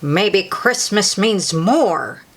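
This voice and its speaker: Woman voicing a slow, scheming storybook Grinch. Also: medium pitch, expressive, slightly rough, heavily acted. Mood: softened, wondering. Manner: sneering, deliberate storybook delivery. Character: sly, grumpy male storybook Grinch creature, scheming.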